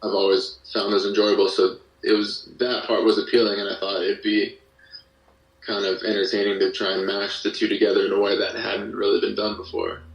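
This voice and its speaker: Low-voiced man in a roomy, distant recording. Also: flat delivery, creaky. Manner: hesitant, halting delivery with frequent hedging. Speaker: podcast guest, musician and creator of an audio drama. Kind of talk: casual interview chat. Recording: roomy echo, distant from the mic.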